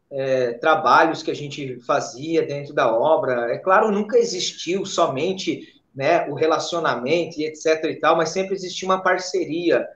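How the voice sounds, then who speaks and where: Male pastor interviewee, Brazilian accent, hesitant and halting, dull lo-fi sound with strong room echo. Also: on the high side. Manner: hesitant, halting, with repeated verbal tags. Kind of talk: spontaneous interview answers. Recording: echoey, dull lo-fi interview audio.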